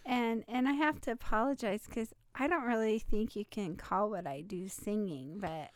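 Chatty woman with a slight rasp. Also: medium pitch, normal speed, no room sound. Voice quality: slightly raspy. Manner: chatty, laughs often, rambling restarts. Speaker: podcast co-host. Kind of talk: casual chat.

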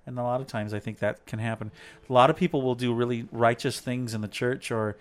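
A man's voice: medium pitch, fairly even intonation, brisk. Playful rambling man with a mid voice. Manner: playful, rambling, teacherly, counts off points. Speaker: co-host, devotional talk. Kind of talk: casual faith chat with his wife.

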